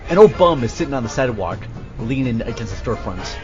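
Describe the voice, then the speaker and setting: A man hosting a podcast, moving between casual introductions and animated scripted narration of audio drama scenes. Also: medium pitch, fast talker, muffled recording, music in the background.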